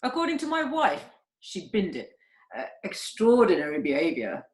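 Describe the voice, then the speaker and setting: A woman's voice: lowish voice, wildly swooping pitch, moderate pace, darkish tone. Lady doing a shifty butler, formal n hesitant, voice jumps around, roomy online call sound. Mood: evasive. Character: male butler Barrymore, shifty, deferential, deadpan. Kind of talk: comic amateur radio play reading. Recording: roomy internet call recording.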